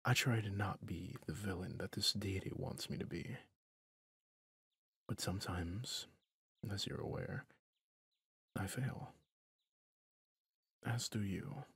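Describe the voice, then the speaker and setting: Casting: male actor as a resigned, tender villain for an ASMR fantasy drama; low, gravelly, breathy near-whisper, brooding and confiding. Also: a bit bright, dry recording, clean studio sound, full character voice. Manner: slow, brooding, confiding with pauses. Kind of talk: intimate ASMR roleplay drama.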